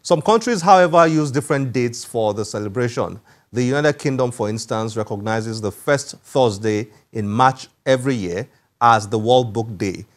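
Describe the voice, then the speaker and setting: Male presenter, mid-pitched, formal and measured, scripted book show narration.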